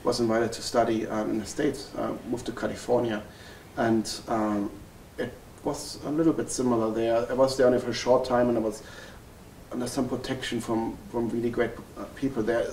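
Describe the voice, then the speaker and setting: A German-accented man in his forties speaks earnestly, pausing on ums and correcting himself as he goes. His voice carries a gravelly edge. It sounds roomy and a bit far from the mic, with a faint hiss underneath. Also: medium pitch, little pitch movement, darkish tone. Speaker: family physician. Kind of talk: interview storytelling. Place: wood-paneled room indoors.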